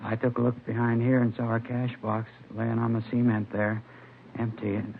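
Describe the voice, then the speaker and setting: An actor playing a mild, middle-aged American shopkeeper in a 1950s radio drama, gravelly, earnest and plain-spoken. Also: male voice, medium pitch, completely flat delivery, moderate pace, close to an everyday voice. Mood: shaken. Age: 50s. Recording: noisy, muffled vintage radio recording.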